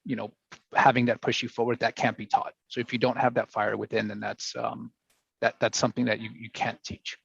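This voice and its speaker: A man's voice: mid-range voice, flat delivery, a little fast, gravelly, fairly bright tone, breathy edge, no room sound, dull audio. A man from Brazil speaking as a finance panelist on a video call, earnest and full of restarts and fillers.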